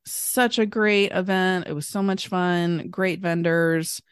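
A woman from Kentucky hosts a podcast in a chatty, easy way, laughing often. Her voice sits low and sounds a little scratchy from allergies. The recording is close and dry. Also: steady tone, slow, smooth, no echo. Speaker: podcast host. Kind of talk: casual interview chat.

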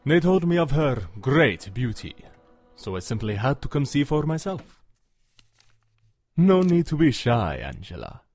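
Male actor as suave male vampire, radio drama, very slow, commanding, hypnotic, formal diction, husky, close dry sound. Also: medium pitch, big pitch swings, darkish tone, no echo. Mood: smooth, flattering.

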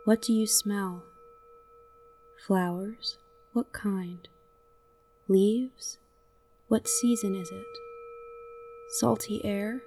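A woman narrating a scripted guided meditation in a gentle, soothing manner, leaving long pauses between short phrases. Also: medium pitch, distant mic, slight background noise.

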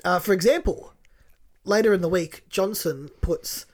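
A man's voice: high voice, a little slow, a little mellow. A man co-hosting a podcast, chatty and riffing in casual talk, with the odd swear. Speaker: podcast co-host.

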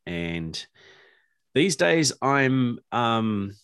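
A man's voice: medium pitch, drawn-out words, smooth, no echo, clean studio sound. A middle-aged man, a podcast guest chatting casually and laughing easily. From New Zealand. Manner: laughs easily, restarts sentences, relaxed. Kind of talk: casual interview chat.

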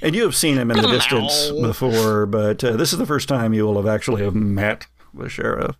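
A man narrating a tabletop game as game master in a casual, improvised, halting way. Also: fairly low pitch, crowd noise. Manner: halting, searching for words.

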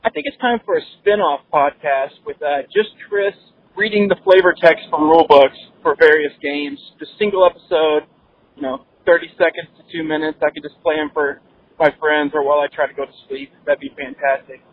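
A man's voice: fairly high pitch, fairly even intonation, a little fast. Man on a tinny voicemail line. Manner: jokey, making playful requests. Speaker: listener leaving a voicemail. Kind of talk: recorded voicemail message.

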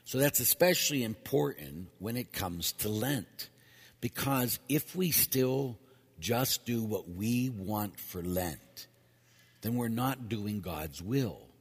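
Hoarse priest preaching in an echoey church. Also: male voice, fairly low pitch. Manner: conversational preaching, emphatic repetition. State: losing his voice. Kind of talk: homily.

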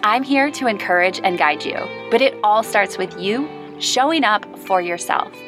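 A woman's voice: mid-range voice, animated, moderate pace, music in the background. A woman hosting an inspirational podcast, talking earnestly and encouragingly about faith. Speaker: podcast host. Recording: recorded as a live video.

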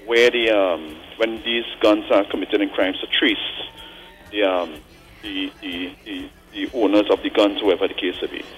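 A man in his forties calling in to a radio show, thinking aloud earnestly with frequent pauses and tag questions like "right?". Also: fairly low pitch, a bit bright, rough recording. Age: 40s. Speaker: caller. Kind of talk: call-in radio commentary.

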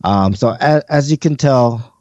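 A man's voice: mid-range voice, a little slow, darkish tone, no room sound, dull audio. A man hosting a podcast, chatting casually and easygoing while his voice sounds worn out from a sore throat. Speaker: podcast host. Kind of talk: casual chat.

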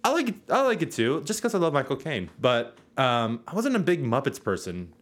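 A man's voice: medium pitch, huge pitch swings, fairly quick. A young man co-hosting a podcast in casual chat, sarcastic and joking, swearing freely. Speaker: podcast co-host.

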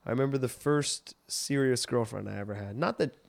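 A man from Montreal, a comedian guest on a podcast, talking in a quick, playful, jokey way. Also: mid-range voice, vocal fry, no echo. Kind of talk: casual comedy podcast chat.

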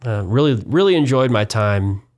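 A man's voice: mid-range voice, lively intonation, normal speed, a little mellow. A young man from Kansas chatting in an interview about his parish work and hometown, rambling with many fillers and restarts and a dry, self-deprecating humor. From Wichita, Kansas. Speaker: parish communications and development coordinator, guest. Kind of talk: casual interview conversation.

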